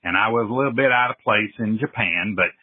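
Over a tinny, dry phone line comes a man acting out a swaggering businessman with a Southern American accent. His voice is husky, and he brags in a folksy, repetitive way, as a comic caller would. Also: fairly low pitch, a little fast, dry recording. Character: brash swaggering Japanese businessman raised American, bragging.

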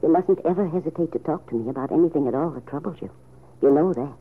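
A woman playing a kindly, wistful older aunt with a low husky voice, measured and tender, in old-time radio soap style. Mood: gentle concern. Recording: narrow, tinny old radio recording.

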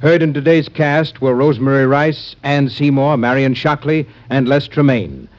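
A man's voice: medium pitch, moderate pace. A man reading scripted credits as a 1940s radio announcer. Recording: muffled old radio recording.

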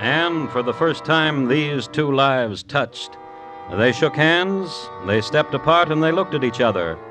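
A man hosting and narrating a 1950s radio crime show in a wry, deliberate, literary scripted style. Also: fairly high pitch, expressive. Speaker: host and narrator. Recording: old radio recording with music.

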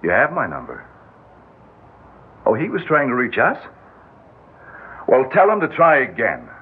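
An actor playing a harried, caring husband on edge, coaxing and wry, in old radio drama style. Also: male voice, medium pitch, huge pitch swings, unhurried, husky, heavily acted. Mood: polite, tense. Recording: tinny, band-limited old radio recording.

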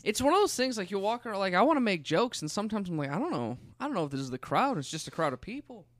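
A man with a fairly high voice chats and jokes as a podcast co-host. His pitch moves around a lot, giving him a very animated delivery. The recording is dry and free of room sound. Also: racing, dry recording. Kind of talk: casual, joking chat.

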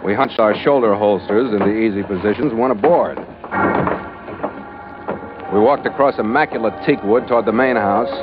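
An actor playing a tough, suspicious sea captain, narrating in a clipped, terse 1940s radio style. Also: male voice, fairly low pitch, normal speed, pauses often, close to an everyday voice. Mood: tense, recounting. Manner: clipped, hard-boiled, terse. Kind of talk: 1940s radio acting, first-person narration of a ship's log. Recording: dull vintage radio recording, loud music.

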